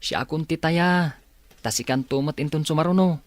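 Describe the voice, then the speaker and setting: A friendly young male student in a radio drama, acted by a man, speaks in a fairly high voice. He sounds eager and companionable. The sound is dry and close. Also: normal speed, no echo, close to an everyday voice. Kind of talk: Ilocano radio drama acting.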